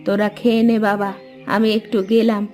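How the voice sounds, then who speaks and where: A woman's voice: medium pitch, level tone, a little fast, a little mellow, soft background music, lightly acted. A woman voicing a worried, nagging village mother and housewife in a cartoon fairy tale. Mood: motherly urging.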